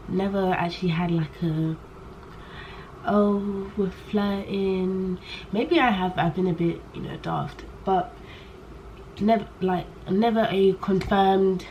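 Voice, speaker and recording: A young woman in her late teens, chatting candidly to camera in a rambling way. She talks loudly on purpose and keeps trailing off and restarting her sentences. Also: medium pitch. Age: young adult. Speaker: vlogger. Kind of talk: casual chat to camera. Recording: poor audio with faint background noise.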